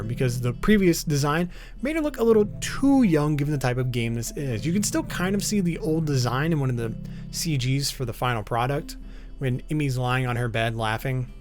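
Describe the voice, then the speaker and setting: A man narrating an explainer video about a visual novel. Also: a little high.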